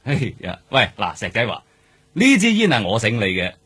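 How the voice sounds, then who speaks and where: Husky, low, grumbling detective. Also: male voice, huge pitch swings, normal speed, a bit bright, full character voice. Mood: cajoling. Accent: Hong Kong Cantonese. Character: grumbling, cajoling male police detective. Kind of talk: Cantonese radio drama acting.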